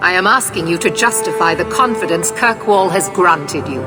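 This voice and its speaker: An actress playing a stern templar knight commander in a video game, speaking in a formal, measured, authoritative way. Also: female voice, on the low side, animated, over loud music, lightly acted. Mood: stern, insistent.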